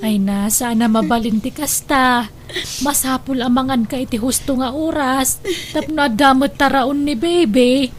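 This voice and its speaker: A woman's voice: high-pitched, normal speed, a little hiss or hum, close to an everyday voice. A woman playing a caring, soothing female relative in an Ilocano radio drama. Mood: soothing, concerned.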